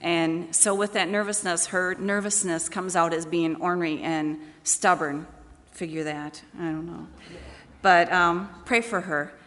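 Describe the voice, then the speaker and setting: A woman's voice: on the low side, fairly even intonation, a little slow, a bit bright. A woman church leader speaking in a plain, conversational way to a congregation during announcements and prayer. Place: large church meeting hall. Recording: echoey hall with long reverb.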